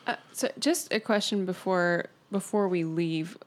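Woman player, fairly low voice, hesitant and polite. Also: unhurried.

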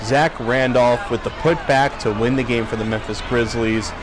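A man's voice: mid-range voice, steady tone, normal speed, noisy background. An American man hosting a casual sports show, talking through and analysing a game.

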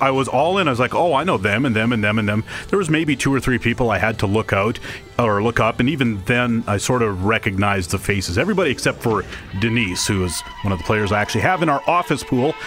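A man co-hosting a podcast in casual conversation, telling things in an animated, emphatic way. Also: mid-range voice, fast. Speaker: podcast co-host. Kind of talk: casual chat about TV. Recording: music behind the talk.

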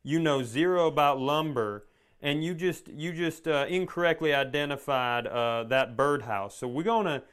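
A man's voice: on the high side, moderate pace, fairly bright tone, smooth. A man co-hosting a comedy podcast, telling stories in casual chat with sarcasm, swearing and acted-out dialogue.

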